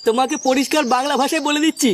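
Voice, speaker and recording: Woman as a high-voiced, blustering cartoon tough guy. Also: fairly even intonation, very fast, a little hiss or hum, natural voice. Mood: blustering anger. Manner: threatening, crude, blustering. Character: hot-headed, bullying male cartoon club tough. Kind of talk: comic cartoon dub.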